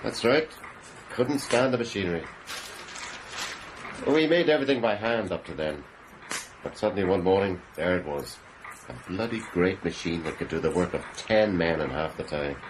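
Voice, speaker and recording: An actor playing a middle-aged, plain-spoken, defensive British working husband in a radio drama. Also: male voice, low voice, moderate pace, long pauses, background noise, lightly acted. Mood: reminiscing.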